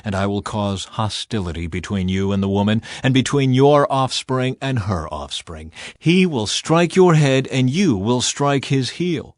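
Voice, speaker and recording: A man voicing a solemn, authoritative male God in a scripture audiobook, speaking sternly and deliberately. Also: medium pitch, lively intonation, no echo, studio quality, lightly acted. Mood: stern, condemning.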